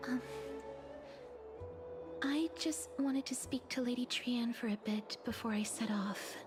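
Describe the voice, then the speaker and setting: A woman playing a solemn, tender young fantasy woman in a video game, speaking softly, breathily and formally. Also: a little high, a little slow, over music, close to an everyday voice. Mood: wistful, solemn. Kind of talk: scripted game voice acting.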